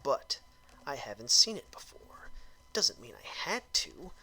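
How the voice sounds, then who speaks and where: A man's voice: medium pitch, sing-song, a little slow, pushed and bright. A man giving a comedic, theatrical dramatic reading of a written review, with exaggerated emphasis and phrases that drop into breathiness. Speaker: performer reading out a film review.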